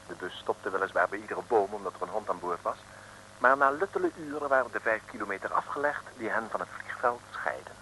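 A man's voice: fairly low pitch, sing-song, fast talker, vocal fry. A man narrating a vintage radio serial in a wry, chatty, tongue-in-cheek storytelling style. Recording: noisy old radio recording.

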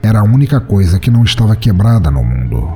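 Brazilian male story narrator: very low, mellow voice, dramatic and wry, scripted fiction. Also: big pitch swings, quick pace. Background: music.

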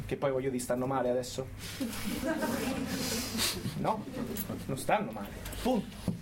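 An actor playing a cynical, resentful prison guard with a Roman accent, goading the listener colloquially in a stage monologue. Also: male voice, medium pitch, big pitch swings, unhurried, heavy background noise. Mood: resentful grumbling. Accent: central Italian, Roman. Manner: colloquial, sneering, goading with repeated tag questions. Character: cynical, resentful, underpaid male prison guard, scornful of inmates. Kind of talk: theatrical monologue addressed to an audience.

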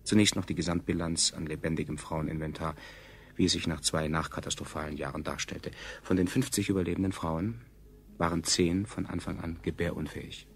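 Casting: sardonic science-fiction chronicler, gravelly low voice, deadpan radio-drama delivery. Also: male voice, brisk. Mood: sardonic, clinical. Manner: deadpan, clinical, ironic. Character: male chronicler of a doomed science colony, coolly sardonic. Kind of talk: scripted radio play narration. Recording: 1970s radio production, clear background noise.

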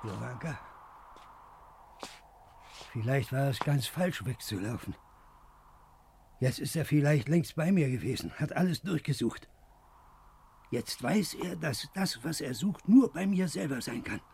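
Creaky, high-voiced frightened old man. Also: expressive, unhurried, long pauses, darkish tone. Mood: anxious brooding. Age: older. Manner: plaintive, brooding, repeating himself. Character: frightened, hunted, exhausted old man brooding on injustice. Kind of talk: 1950s German radio drama acting. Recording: noisy 1950s radio drama recording.

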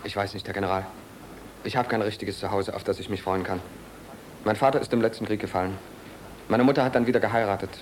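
A man's voice: lowish voice, slight background noise, lightly acted. A man playing a young, dutiful, earnest soldier in a German radio play, confiding in a formal, subdued way. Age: young adult.

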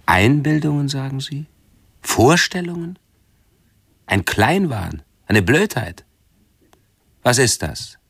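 Radio drama: fussy, anxious hypochondriac man, very breathy and husky, slow, pedantic delivery. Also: medium pitch, big pitch swings, no room sound, studio quality, full character voice. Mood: agitated, indignant. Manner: halting, pedantic, formal phrasing. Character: hypochondriac male patient, fussy, anxious and self-absorbed. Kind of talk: 1970s German radio play acting.

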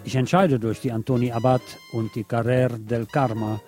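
A man hosting a late-night radio show, delivering a literary scripted monologue in a deliberate, poetic storytelling way. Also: mid-range voice, level tone. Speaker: radio host. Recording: music playing under the voice. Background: music.

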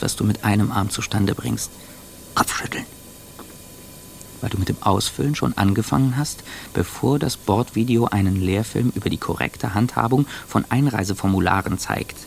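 A man's voice: mid-range voice, creaky, natural voice. A man playing a bitter, anxious passenger, delivering a sardonic, contemptuous interior monologue in a radio play. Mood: anxious, seething. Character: bitter, anxious man seething silently on a plane. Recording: faint background ambience noise.

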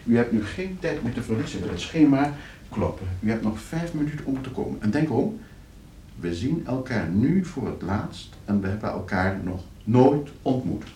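A man acts out a scheming professor in a radio drama. His mid-pitched voice swings widely in melody. He is courteous and exact, with a nervous, slippery edge. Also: sing-song, moderate pace, mellow, a little hiss or hum, close to an everyday voice. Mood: brisk, conspiratorial. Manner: polite, precise when instructing, stammering when questioned. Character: scheming, flustered professor plotting against his uncle for inheritance. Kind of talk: radio play acting.

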